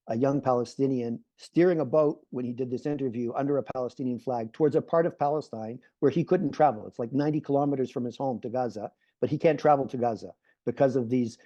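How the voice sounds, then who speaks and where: A man's voice: medium pitch, dark tone, no room sound. Earnest middle-aged man on a muffled video call. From Canada. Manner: earnest, repeats phrases while building points. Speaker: panelist, peace activist. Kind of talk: online panel talk.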